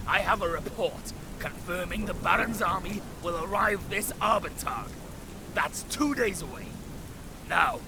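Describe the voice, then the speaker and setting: An actor playing an arrogant, short-tempered veteran knight captain, barking scornfully and sarcastically at soldiers in a fantasy audio drama. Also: male voice, sits high, gravelly, noisy background, heavily acted.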